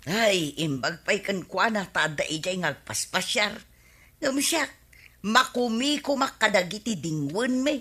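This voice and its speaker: A woman's voice: mid-range voice, animated, measured pace, bright, forceful tone, breathy edge, full character voice. A woman with an Ilocano accent playing a gossipy, overworked village wife who grumbles, in a radio comedy drama. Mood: grumbling. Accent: Ilocano Filipino.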